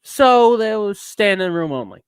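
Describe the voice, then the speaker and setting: A man's voice: sits high, sing-song, unhurried, clean tone, no room sound, clean studio sound, voice pushed up. A man voicing a bitter, neurotic ranter in a theatrical dramatic reading, with a put-on accent that shifts around. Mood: cranky storytelling. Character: edgy, bitter, ranting neurotic man telling a travelogue. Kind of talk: dramatic reading of a rambling rant.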